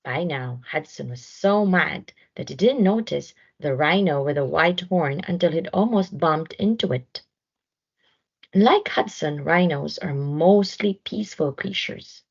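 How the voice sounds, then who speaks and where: A woman reading a children's story aloud in an expressive narration style, on a slightly dull recording. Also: low-pitched, measured pace, gravelly, husky. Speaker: storybook reader.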